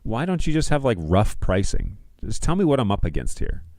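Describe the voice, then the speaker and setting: A man's voice: on the low side, very animated, brisk, darkish tone, no room sound, clean studio sound. A middle-aged man hosting a livestream, chatting informally and rambling as he thinks aloud while browsing. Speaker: livestream host.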